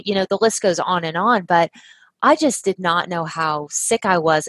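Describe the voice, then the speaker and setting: A woman's voice: mid-range voice, a bit bright, no room sound. Woman guest, earnest and emphatic, recounting her story, dry close sound. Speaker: guest, health practitioner. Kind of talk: conversational storytelling.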